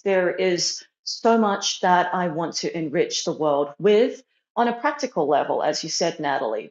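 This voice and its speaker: A young woman from China, raised in Australia, talking earnestly as an interview guest. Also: mid-range voice. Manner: earnest, reflective, with fillers. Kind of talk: casual interview talk. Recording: roomy and dull.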